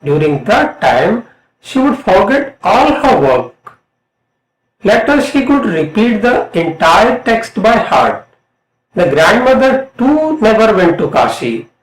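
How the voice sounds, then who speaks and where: A middle-aged man with an Indian accent reading a scripted lesson as an English lecturer, in a deliberate, emphatic way. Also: high voice, sing-song. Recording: roomy, echoing voice.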